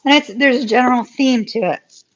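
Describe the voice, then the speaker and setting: A woman, giving a live tarot reading in a chatty way, with a voice going hoarse, laughing often and repeating words for emphasis. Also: a little high, a little slow, lo-fi sound. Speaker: tarot reader.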